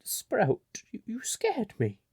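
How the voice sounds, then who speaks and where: A fantasy storybook hag mother, fond and firm with her child, voiced by a man in a raised, croaky tone. She is craggy but tender and homely. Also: sits high, huge pitch swings, takes it slowly, a little mellow, no room sound, studio quality, voice pushed up, full character voice. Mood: startled, relieved. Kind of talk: fantasy storybook character acting.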